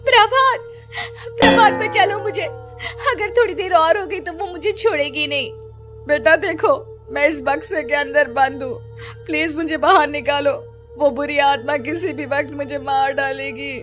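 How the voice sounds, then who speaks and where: Woman acting frightened, high-pitched pleading captive woman. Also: a little slow, a bit bright, soft background music. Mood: desperate pleading. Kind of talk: dramatized Hindi horror cartoon story.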